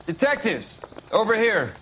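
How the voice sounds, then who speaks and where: A man's voice: high voice, very animated, measured pace, fairly bright tone, slight background noise, exaggerated voice. An actor playing a matter-of-fact patrolman in a video game, reporting in a dry, factual way. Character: matter-of-fact male patrolman briefing detectives. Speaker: patrol officer character.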